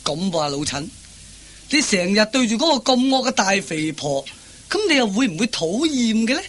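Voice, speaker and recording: An actor playing a teasing, goading Cantonese friend in a radio comedy play, with a needling, emphatic drawl. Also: male voice, high voice, big pitch swings, moderate pace, a bit bright, heavily acted. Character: teasing, goading male friend of a henpecked husband.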